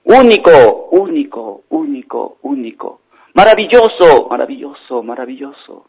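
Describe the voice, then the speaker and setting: A man voicing a mysterious echo from a dark cave in a story, repeating single words that fade away. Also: mid-range voice, very animated, unhurried, gravelly. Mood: wondrous echoing. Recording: dull band-limited radio phone line.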